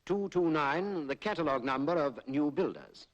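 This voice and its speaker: 1940s British male documentary narrator, higher-pitched. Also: lively intonation, highs cut off. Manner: formal, measured explanatory delivery. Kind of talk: 1940s public information film narration. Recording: 1940s film soundtrack.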